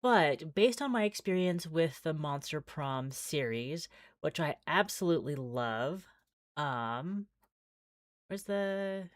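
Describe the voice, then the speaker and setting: A woman game streamer chatting casually as she plays, halting and thinking aloud. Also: low-pitched, animated, no echo, clean studio sound. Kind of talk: casual livestream chat while playing visual novels.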